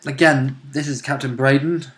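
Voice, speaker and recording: A man's voice: mid-range voice, steady tone, normal speed, fairly bright tone. A man with a mid-range voice acts as co-host on a tabletop gaming show. He chats in a casual, informal way, reacting as the commentary goes along. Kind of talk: casual chat.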